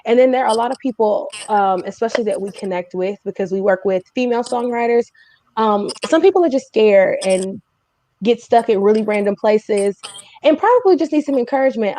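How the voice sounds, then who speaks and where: A woman's voice: a little high, dark tone. Playful woman hosting a casual live chat. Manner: playful, teasing banter. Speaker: host of a music speaker series. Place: live video stream, self-shot on a phone.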